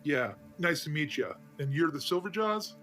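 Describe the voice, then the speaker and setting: A man's voice: fairly high pitch, lively intonation, moderate pace, a bit bright, soft background music. A man playing a tabletop game, chatting in character in an easygoing, chummy way. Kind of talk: casual in-character roleplay chat.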